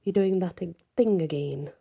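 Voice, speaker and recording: Woman reading as gruff, defiant male shapeshifter. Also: fairly low pitch, moderate pace, warm tone, dry recording. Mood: wry, irritated. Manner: gruff, snarling. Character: defiant, growling male shapeshifter, sickly and proud.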